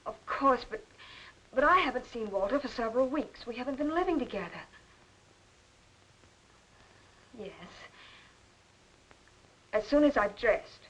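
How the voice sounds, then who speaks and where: A poised, embittered wife in a forties crime picture. Her voice is fairly high with a husky edge, and her delivery is formal and emphatic. It sounds like an old film soundtrack. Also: lively intonation, normal speed, pauses often, fairly bright tone, poor audio. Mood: startled, composed. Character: composed, bitter estranged wife under questioning. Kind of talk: 1940s crime film acting.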